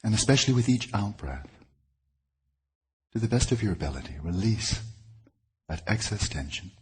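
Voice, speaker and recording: A man leading a guided meditation as a teacher, speaking in deliberate, measured phrases with pauses. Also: fairly low pitch, lively intonation, darkish tone, slightly rough.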